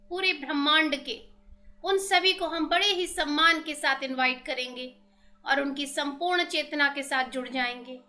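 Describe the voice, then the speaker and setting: Slow, high-pitched Indian woman guiding meditation. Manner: measured, devotional, with long pauses. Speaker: guided meditation teacher. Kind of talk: guided meditation, slow instructions and invocations. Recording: meditation music with singing bowls behind. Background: soft music and singing bowl tones.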